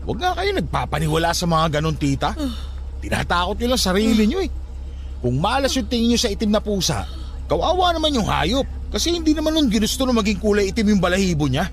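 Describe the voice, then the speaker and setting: Radio drama nephew: high voice, very animated, sarcastic and argumentative. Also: male voice, fairly quick. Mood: dismissive. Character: irritable, blunt skeptical nephew, a grown man. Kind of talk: Tagalog radio drama acting.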